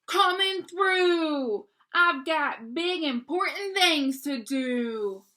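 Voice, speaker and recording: A woman voicing a big, self-important dump truck in a children's storybook, yelling pompously. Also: sits high, expressive, drawn-out words, pitched above the performer's own voice, full character voice. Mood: brash, impatient. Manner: loud honking yells, pompous. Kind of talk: storybook read-aloud for young children.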